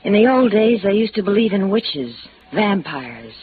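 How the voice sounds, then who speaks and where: A woman acting a wistful, bitter secretary in love, earnest and halting, in 1950s radio drama style. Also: medium pitch, big pitch swings, natural voice. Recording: dull, noisy vintage radio recording.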